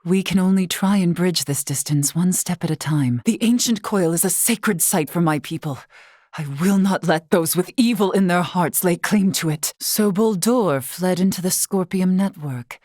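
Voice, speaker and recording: Woman acting a solemn, low-key courtly warrior woman. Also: darkish tone, no echo, studio quality, lightly acted. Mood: solemn, measured. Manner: formal, measured, archaic diction. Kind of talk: scripted video game character dialogue.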